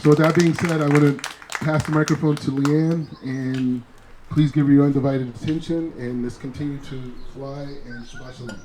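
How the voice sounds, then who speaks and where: A man's voice: medium pitch, fairly even intonation, moderate pace, background noise. A man giving an earnest introduction and blessing for an author at a book launch, with repeated restarts. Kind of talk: warm blessing-style introduction.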